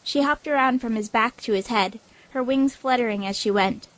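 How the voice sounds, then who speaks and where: A woman's voice: a little high, normal speed, fairly bright tone, rough recording. A woman reading scripted story narration as the narrator of an audio drama.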